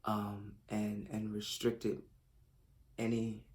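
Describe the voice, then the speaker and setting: A deep, flat-voiced man in his late 20s. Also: takes it slowly. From Philadelphia. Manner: hesitant, many fillers and restarts; earnest, self-described shy and reserved. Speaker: fashion designer. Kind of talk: self-recorded audition pitch.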